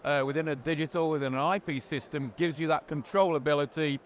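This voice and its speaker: A man with a fairly high-pitched voice hosts a product interview. He explains things in a measured, orderly manner. A light wash of background noise from a trade show floor sits behind him. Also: fairly even intonation. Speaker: presenter and interviewer. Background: faint ambient noise.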